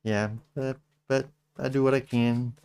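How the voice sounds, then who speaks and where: A middle-aged man with a Texas accent, hosting a casual livestream chat, speaking slowly with many halting restarts. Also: medium pitch, fairly even intonation, a little mellow, clean tone, no echo. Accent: Texan. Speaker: channel host.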